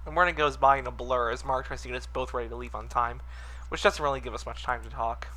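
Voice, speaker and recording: A man's voice: medium pitch, fairly even intonation, fast, pushed and bright, a little hiss or hum. A man reading visual novel narration expressively, with casual, joking asides. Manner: breaks into laughter and joking asides. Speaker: reader in a group let's-read of a visual novel. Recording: music playing behind the voice.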